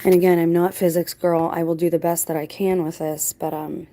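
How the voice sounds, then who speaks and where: A woman's voice: on the low side, level tone, a little fast, mellow, a little hiss or hum. A woman hosting her own channel, talking casually to viewers in a reflective, digressive, explanatory way. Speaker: video channel host. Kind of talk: casual rambling monologue to viewers.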